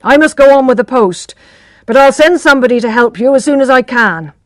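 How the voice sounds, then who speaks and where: A woman voicing a brusque male post-carriage driver who speaks loud and hurried. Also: sits high, animated, no echo, studio quality, pitched above the performer's own voice.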